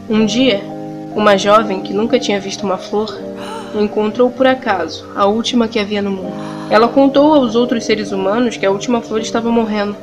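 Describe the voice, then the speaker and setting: Brazilian woman reading a story like audio drama, solemn n measured, pauses a lot, loud music behind her. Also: medium pitch. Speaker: actress narrating an audio drama. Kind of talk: dramatic scripted story narration.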